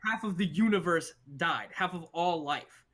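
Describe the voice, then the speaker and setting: A man's voice: high-pitched, animated, normal speed, a bit bright. A man in a casual, heated panel debate, insistent and argumentative as he makes his case. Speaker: discussion show panelist.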